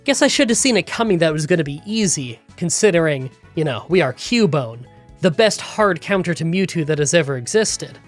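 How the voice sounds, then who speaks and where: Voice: mid-range voice, animated, fairly quick, faint music behind. A gaming YouTuber with a nasal, congested voice from a cold, chatting through a challenge recap and rambling story into a close voiceover mic.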